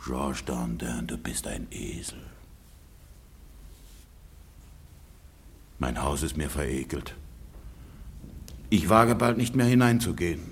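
Gravelly, low-voiced bitter peasant husband. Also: male voice, expressive, measured pace, slight background noise, exaggerated voice. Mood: muttered self-reproach. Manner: declamatory, swinging from outbursts to muttering. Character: bitter, self-mocking rich peasant, a cheated husband. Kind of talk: theatrical German radio play monologue.

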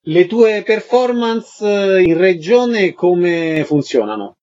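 A man's voice: sits high, animated, slow pace, a little mellow. A man putting interview questions as the host of a radio programme. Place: radio broadcast. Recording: slightly dull, lo-fi radio audio.

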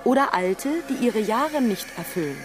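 An actress playing a solemn, prophetic voice of old messages in a radio drama. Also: female voice, on the high side, animated, normal speed, lightly acted. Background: music.

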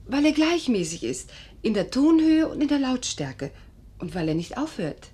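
An actress playing a sleepless, troubled and insistent patient in a 1950s German radio drama, speaking precisely and emphatically. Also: female voice, high voice, big pitch swings, normal speed, warm tone, breathy edge, heavily acted.

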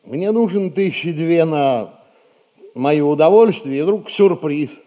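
An actor playing a pompous, dissolute middle-aged merchant in a Russian stage comedy, speaking in florid, sighing phrases. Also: male voice, on the high side, lively intonation, unhurried, dark tone, muffled recording. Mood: pompous, demanding. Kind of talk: 1960s Russian stage comedy acting for audio.

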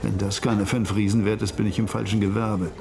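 An actor playing a cocky street informant with a gravelly voice, haggling in a radio crime drama. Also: male voice, fairly low pitch, little pitch movement, fairly quick. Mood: bargaining.

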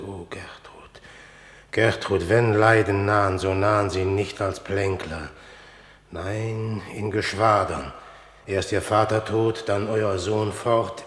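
An actor in a German classical radio drama playing a troubled, scheming middle-aged king with a declamatory, weighty delivery. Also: fairly low pitch. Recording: echoey hall with long reverb.

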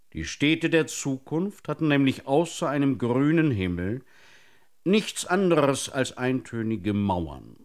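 A man narrating an absurd tale as scripted radio storytelling. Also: medium pitch.

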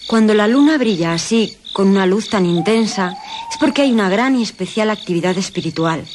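A woman's voice: medium pitch, lively intonation, fairly quick, darkish tone, a little hiss or hum, close to an everyday voice. A mystical governess acted by a woman speaks like an oracle in a gothic radio play. Her mid-range voice is solemn and theatrical, made for eerie lore, with faint night insects behind. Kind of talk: gothic radio drama acting.

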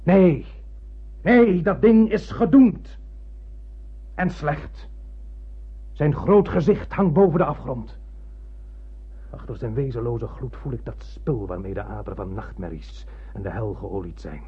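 An actor playing an obsessed, commanding spaceship captain in a radio play, with grandiose, intense declamation. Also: male voice, mid-range voice, a little slow, long pauses, slightly rough, lo-fi sound. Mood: brooding obsession. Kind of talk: dramatic radio play acting.

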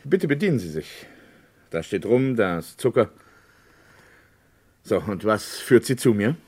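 An actor playing a genteel, hospitable village notary in a German radio drama, with a husky voice and polite manner. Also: male voice, fairly low pitch, big pitch swings, a little slow, darkish tone, heavily acted. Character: courteous, hospitable male village notary, discreet.

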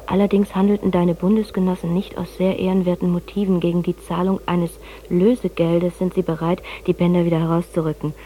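A woman playing a composed letter writer in a radio drama, speaking in a measured, articulate way. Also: on the low side, level tone, mellow, natural voice. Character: woman reading her letter to a friend, composed. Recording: faintly noisy cassette recording.

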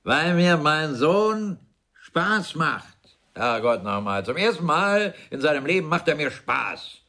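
A man's voice: on the high side, big pitch swings, slow, bright, forceful tone, heavily acted. An actor playing a bitter, sarcastic older father who blusters scornfully in a German radio crime drama. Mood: indignant, scornful. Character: sardonic, bitter, well-off father, widowed businessman.

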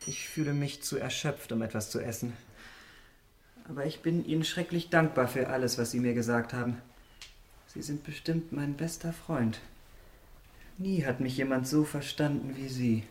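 An actor playing a sensitive, self-absorbed young gentleman in a German radio drama, murmuring and introspective. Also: mid-range voice, moderate pace, natural voice. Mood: weary, relieved. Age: young adult.

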